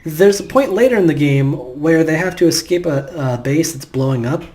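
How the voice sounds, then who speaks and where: A man's voice: fairly high pitch, expressive, normal speed, darkish tone. A man giving casual, rambling, nitpicking let's play commentary. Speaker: gameplay commentator. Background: game music.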